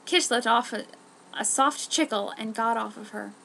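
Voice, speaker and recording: Female amateur narrator, dramatic reading, scripted story, higher pitch, forceful bright tone. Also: moderate pace, creaky, a little hiss or hum, rough recording. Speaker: amateur fan fiction narrator.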